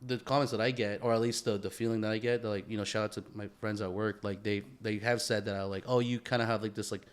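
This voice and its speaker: A man's voice: fairly low pitch, flat delivery, fast, clear, resonant tone. A man co-hosting a podcast in casual conversation, talking in a rambling, slangy way full of "bro" and "man". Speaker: podcast co-host.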